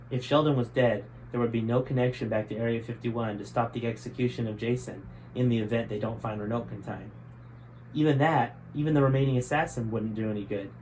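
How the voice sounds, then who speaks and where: Male author, storybook narration, middle pitch, faint background noise. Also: darkish tone, poor audio. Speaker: author reading own book.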